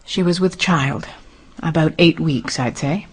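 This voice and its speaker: A woman's voice: sits low, a little slow, slightly rough. A woman acting a dry-witted, composed autopsy doctor in an old-time radio mystery, matter-of-fact and wry. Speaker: radio drama actor.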